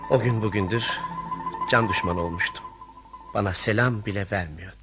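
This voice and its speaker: A man's voice: lowish voice, normal speed, loud music behind the voice, close to an everyday voice. A man playing a wry male narrator recounting his friend's misadventure in a radio play, speaking formally. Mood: wry narrating. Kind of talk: radio theatre storytelling.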